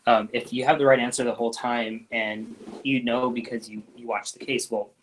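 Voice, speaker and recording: A man hosting a casual live-stream Q&A as a doctor, talking loosely with lots of ums and restarts and letting his sentences trail off quietly. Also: mid-range voice, normal speed, a little hiss or hum, poor audio. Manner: relaxed, frequent ums and restarts, trails off softly.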